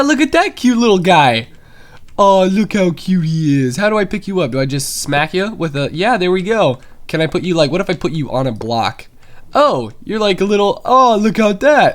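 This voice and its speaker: Stuffy young man, excitable game commentary. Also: high voice. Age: young adult. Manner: chatty and excitable, many fillers. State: stuffy from a cold. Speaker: gaming YouTuber. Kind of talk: casual Minecraft let's play commentary.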